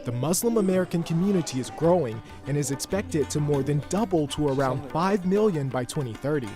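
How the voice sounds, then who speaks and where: A man reading scripted news narration in a measured, informative way. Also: fairly high pitch, expressive. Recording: voice-over with soft music underneath.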